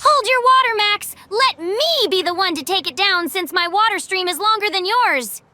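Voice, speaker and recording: A woman's voice: very high-pitched, expressive, moderate pace, background noise. A woman playing a sassy, impatient young girl hero in an amateur cartoon comic dub. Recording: music under the voice.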